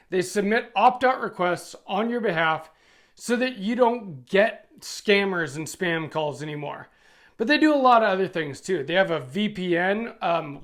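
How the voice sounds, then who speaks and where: A man's voice: high voice, a bit bright. A middle-aged American man hosting a video, giving a casual, conversational product review in plain-spoken language.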